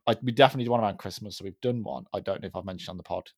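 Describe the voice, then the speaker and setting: A man's voice: sits low, racing, no room sound, clean studio sound. A man with a Brummie accent co-hosting a podcast, chatting casually and rambling off on tangents. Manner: rambling, chatty, trails off mid-thought. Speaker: podcast co-host. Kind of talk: casual chat.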